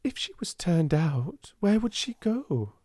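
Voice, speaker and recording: Guy doing a silly british schoolgirl, high voice, earnest and nosy, storybook style. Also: sing-song, takes it slowly, mellow, no echo, studio quality, heavily acted. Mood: anxious, indignant. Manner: inquisitive, earnest. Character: silly, gossipy but kindly schoolgirl.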